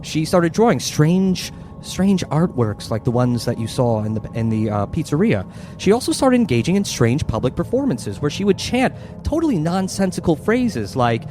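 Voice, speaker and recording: Animated man as game master. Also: on the high side. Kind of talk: narrating a tabletop horror game. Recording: music bed behind the voice. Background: music.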